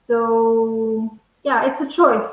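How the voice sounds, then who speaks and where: A young woman, bilingual in English and Italian, teaches over a video call in a strongly echoing room. Her voice sits on the high side and she takes her time, explaining things in a measured, conversational way. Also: fairly even intonation. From Italy. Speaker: English teacher, webinar host. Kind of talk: conversational online lesson. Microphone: earphone headset on video call.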